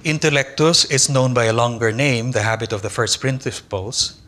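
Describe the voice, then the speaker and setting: Older man giving a measured academic lecture. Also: mid-range voice, a bit bright. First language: Tagalog. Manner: measured, deliberate reading with careful pauses. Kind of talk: academic conference lecture read from a text. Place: large lecture hall with an audience. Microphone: lectern microphone.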